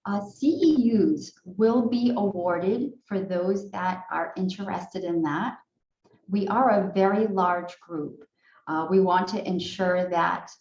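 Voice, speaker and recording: A woman who directs training, opening a video-call session with polite, measured housekeeping notes and frequent fillers. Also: fairly low pitch, darkish tone. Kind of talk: online training welcome and housekeeping. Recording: echoey room, dull lo-fi sound.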